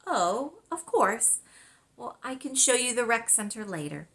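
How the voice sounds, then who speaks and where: A woman's voice: a little high, sing-song, a little slow, fairly bright tone, voice pushed up, heavily acted. A woman voicing a welcoming, folksy grandmother in a storybook, gracious and reassuring. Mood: covering disappointment. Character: warm, welcoming grandmother, proud island nature guide.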